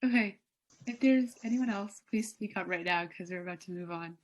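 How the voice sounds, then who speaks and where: A woman's voice: mid-range voice, moderate pace. Young woman leading an online meeting. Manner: relaxed, filler-heavy. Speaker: meeting leader. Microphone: video call.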